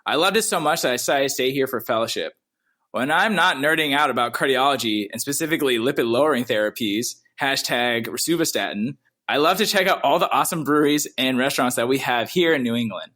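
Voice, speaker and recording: A man's voice: mid-range voice, lively intonation, quick pace, pushed and bright. A man in his thirties from Cincinnati, a cardiology fellow chatting upbeat and jokey on a podcast. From Cincinnati, USA. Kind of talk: casual podcast chat.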